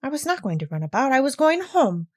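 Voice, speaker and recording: Woman voicing a high-pitched, homesick little girl. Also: very animated, fast talker. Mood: frightened. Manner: pleading, earnest. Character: earnest, homesick, distressed little Swiss girl. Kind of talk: children's storybook character reading.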